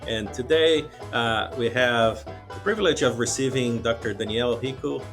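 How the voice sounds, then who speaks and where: A man hosts a podcast and introduces his guest with upbeat courtesy. His pitch is middling. Soft plucked guitar plays faintly behind him. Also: moderate pace. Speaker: podcast host. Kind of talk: show introduction.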